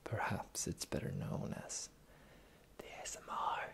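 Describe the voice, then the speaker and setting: A performer voicing a sly, teasing pirate captain in a slow, breathy, whispered fantasy ASMR roleplay. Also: a bit bright, lightly acted. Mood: sly, bargaining. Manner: teasing, slow, drawling, theatrical.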